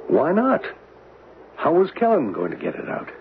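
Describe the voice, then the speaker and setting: An actor playing a wary, uneasy American diplomat haggling in an old radio drama, with an American accent. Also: male voice, on the high side, huge pitch swings, normal speed. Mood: wary, questioning. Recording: muffled old radio broadcast with hiss.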